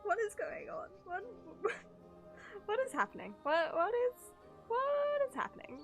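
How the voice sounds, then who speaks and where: A woman livestreaming a game, reacting in an animated, giggly way. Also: extremely high pitch, unhurried, faint music behind. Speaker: streamer. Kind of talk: live game reaction commentary.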